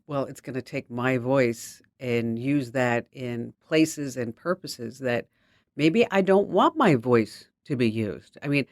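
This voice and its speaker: A man's voice: medium pitch, lively intonation, slow pace, dry recording, studio quality. A man hosting a show, chatty and enthusiastic as he introduces a guest. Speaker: host.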